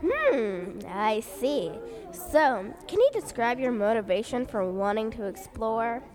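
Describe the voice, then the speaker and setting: A woman's voice: a little high, big pitch swings, slow pace, darkish tone, soft background music. A woman hosting a mock interview show, upbeat and scripted. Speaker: host.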